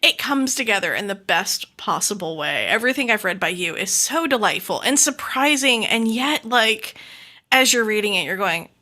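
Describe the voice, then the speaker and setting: Bright-voiced, enthusiastic woman podcast host. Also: mid-range voice, animated, moderate pace. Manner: gushing, enthusiastic, laughs often. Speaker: podcast host interviewing a playwright. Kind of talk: casual interview chat.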